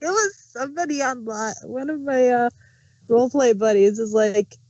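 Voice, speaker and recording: Woman chatting on a review show, kinda high voice, really dry sound. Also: normal speed, a little mellow, no room sound, lo-fi sound. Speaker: review podcast panelist. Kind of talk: casual chat.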